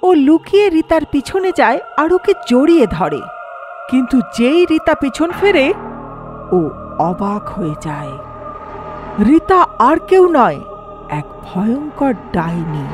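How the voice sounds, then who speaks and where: A woman's voice: sits high, sing-song, unhurried, long pauses, over music. A woman narrating a scripted horror story in a dramatic storytelling manner.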